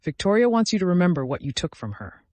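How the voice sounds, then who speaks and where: A man's voice: sits high, very animated, quick pace, a little mellow, no room sound, pitched above the performer's own voice. A man voicing a grim, businesslike female police detective in an audiobook, terse and official.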